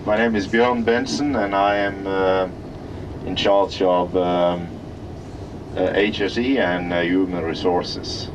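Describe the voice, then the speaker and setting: Norwegian-accented man guiding a plant tour in a fairly low voice, halting English, recorded from a distance outdoors. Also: steady tone. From Norway. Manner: halting, searching for English words. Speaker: tour guide at an industrial plant. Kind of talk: guided tour commentary. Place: outdoors at an industrial site. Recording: distant voice under loud outdoor traffic noise.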